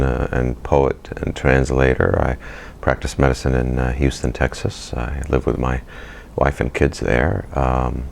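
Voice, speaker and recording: Very deep, gravelly-voiced man, unhurried and halting. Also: slight background noise. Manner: halting, many fillers, thoughtful. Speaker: poet and physician. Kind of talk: recorded interview monologue.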